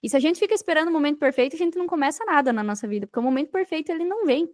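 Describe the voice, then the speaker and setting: Brazilian woman on a podcast, really high voice, dry close sound, emphatic, chatty. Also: expressive, rapid-fire, a little mellow, no echo. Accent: Brazilian Portuguese. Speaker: podcast guest, digital marketing entrepreneur. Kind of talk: conversational interview storytelling.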